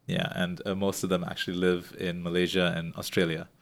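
Very deep-voiced male podcast host. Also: fairly quick, creaky. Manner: relaxed interviewer, hesitant with "uh", laughs along. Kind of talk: casual chat.

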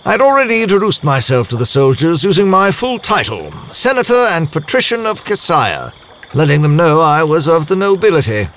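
Male actor as genteel nobleman diplomat, slightly high voice, proud, formal, measured storytelling, audio drama, clear background noise. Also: very animated, fairly bright tone. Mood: self-assured, formal. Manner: formal, measured, literary diction. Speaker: voice actor, lead role. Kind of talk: first-person audio drama narration.

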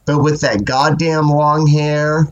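A man hosting a podcast and chatting casually in a sassy, animated way, swearing often and sounding stuffy from a cold. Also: a little high, takes it slowly, dull audio. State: stuffy with a cold, sore throat. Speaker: podcast host. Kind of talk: casual chat.

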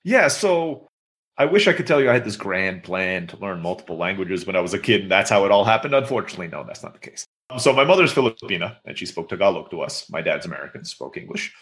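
Fast-talking man with a bright voice. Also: deep voice, big pitch swings. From Turkey. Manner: chatty, storytelling with many fillers. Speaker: guest. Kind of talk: casual interview conversation.

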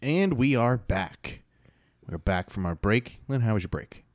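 A man's voice: mid-range voice, lively intonation, unhurried, slight background noise. A man hosting a podcast in casual chat, laid-back and rambling, with lots of fillers. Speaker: podcast host.

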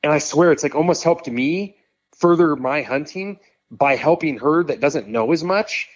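A man in his thirties, a podcast guest, talking casually in a quick, rambling way full of "like" and "you know.". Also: on the high side, dry recording. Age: 30s. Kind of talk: casual conversation. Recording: dull, muffled sound.

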